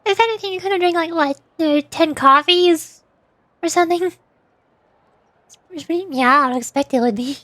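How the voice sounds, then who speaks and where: A woman with a very high voice talks casually to her livestream audience during a game. She is playful and giggly, fond of light teasing. The sound is dry and close, with very little room in it. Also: normal speed, pauses often, a bit bright, no room sound. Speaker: VTuber livestream host. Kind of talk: casual chat with stream viewers while playing a game.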